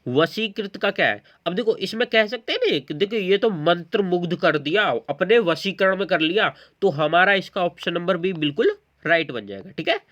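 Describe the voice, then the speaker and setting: A man's voice: sits high, animated, moderate pace, fairly bright tone. A man teaching an exam-prep lesson, explaining quiz questions and often checking in with learners. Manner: explanatory, repeatedly checking in with listeners.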